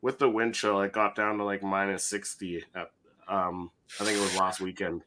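A man co-hosting a relaxed podcast, talking in an easy, rambling way. His low voice has a bright edge, and a lingering cough leaves it slightly hoarse. The home mic sits close. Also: normal speed. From Canada. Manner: easygoing, chatty with fillers. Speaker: podcast co-host. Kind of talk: casual podcast chat.

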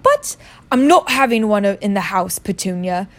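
Storybook bully, played by a woman: middle-aged suburban uncle, loud, blustering, curt and bad-tempered. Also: mid-range voice, big pitch swings, moderate pace, natural voice. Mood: agitated. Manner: barking, sneering, curt.

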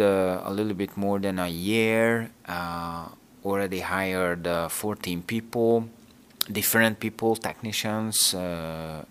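Man, English, very low and slow, crisp bright tone, hesitant interview guest. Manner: hesitant, many fillers and restarts. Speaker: interview guest, team manager. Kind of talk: casual interview talk.

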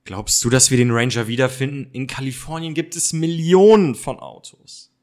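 Ein Mann spielt einen aufmerksamen Jungdetektiv, mittlere Stimmlage. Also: male voice, medium pitch, normal speed, darkish tone, lightly acted. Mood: matter-of-fact. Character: alert, earnest boy detective sidekick. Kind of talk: amateur German detective radio play.

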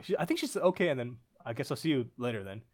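A man's voice: mid-range voice, sing-song, very fast. A young man with an American accent, a podcast guest telling a story in casual chat and laughing often as he goes.

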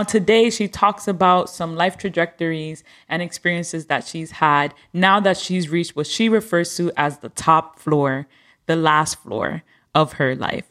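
A woman's voice: lowish voice, fairly bright tone, no room sound, studio quality. A woman hosting an interview, earnest and effusive in her thanks to her guest. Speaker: host. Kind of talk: interview host.

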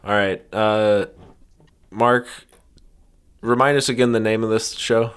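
A man's voice: lowish voice, steady tone, slow, fairly bright tone, clean tone. A man hosting a comedy podcast in casual, bantering talk. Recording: faint background noise, clicks.

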